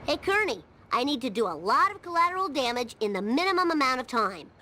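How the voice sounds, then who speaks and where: A cheeky cartoon slacker kid. The voice is high and swoops up and down with bratty confidence, over faint background noise. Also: female voice, big pitch swings, fast, bright, forceful tone, full character voice. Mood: urgent. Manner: cheeky, brash. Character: mischievous cartoon boy of about ten, brash slacker. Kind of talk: animated comedy game acting.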